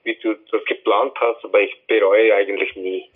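A man from India, a musician, speaks as an interview guest over the phone in a halting way. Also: lowish voice, flat delivery, slightly rough. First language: Hindi, English. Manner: halting, with restarts. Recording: tinny phone line.

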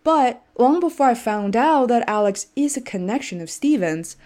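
A woman's voice: on the high side, animated, normal speed, a little mellow. Woman, from China, native Chinese speaker, podcast host, enthusiastic, earnest. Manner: enthusiastic, earnest, with restarts in chat. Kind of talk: scripted intro then interview chat.

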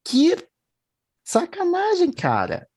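Male host, theatrical, joking, quick to apologize. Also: extremely high pitch, huge pitch swings, a little mellow. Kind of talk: playful talk show hosting.

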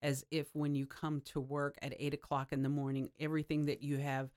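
A woman's voice: low-pitched, little pitch movement, brisk, a little mellow. A woman from the United States hosting an interview, affirming her guest often and talking in long winding turns. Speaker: interview host.

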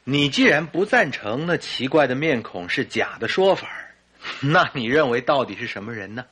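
An actor playing Dr. Watson, a skeptical, impatient companion who challenges and questions, in old-fashioned radio drama style. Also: male voice, medium pitch, big pitch swings, moderate pace, pushed and bright, slightly rough, full character voice. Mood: questioning, surprised.

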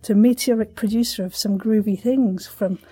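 A woman's voice: medium pitch, steady tone, moderate pace, warm tone, breathy edge. A woman hosting an interview with effusive, enthusiastic chat and frequent giggly delivery. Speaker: podcast host.

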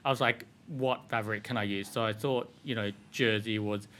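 An Australian man in his thirties talks through his answers in a bright, lower-pitched voice. He rambles loosely, leaning on filler words, in relaxed interview chat. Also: moderate pace. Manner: rambling, filler-heavy with frequent "like" and "um". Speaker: fashion designer guest.

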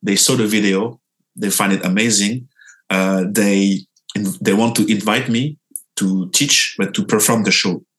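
A young Frenchman speaking English with a clear French accent, telling his own story in an interview. His voice sits on the lower side. He talks in an animated way, jumping up in pitch and stumbling into restarts as he goes. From France. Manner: animated, lively pitch jumps, halting restarts.

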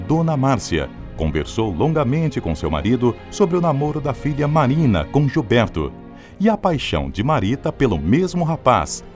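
A man's voice: mid-range voice, sing-song, moderate pace, darkish tone. A man with a Brazilian accent reading a scripted, dramatic radio drama introduction as an announcer. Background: prominent music.

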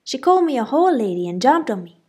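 A woman's voice: sits high, big pitch swings, fast, slightly rough, pitched above the performer's own voice, heavily acted. A woman doing a whiny tattletale boy. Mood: bawling. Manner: whiny, loud and bawling. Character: bratty young boy cousin, tattling and taunting.

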